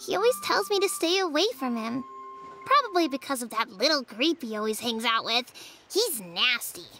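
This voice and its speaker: A woman voicing a feisty, eager young child in a video game, excitable and blunt. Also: sits high, moderate pace, faint music behind, full character voice. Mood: grumbling.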